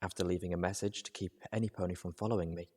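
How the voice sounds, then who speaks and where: A man voicing a poised, cool-headed female pony in a story reading, speaking in a measured and gently reproving way. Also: sits low, steady tone, breathy edge. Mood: composed, measured.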